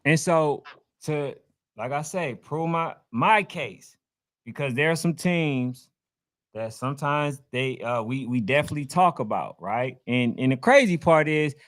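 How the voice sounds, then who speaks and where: A male sports chat host with a high-pitched voice. He talks in loose slang, arguing his case and circling back to repeat his points. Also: animated, unhurried, fairly bright tone. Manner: slangy, explanatory, repeats himself. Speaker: sports talk show host. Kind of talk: casual, heated sports chat.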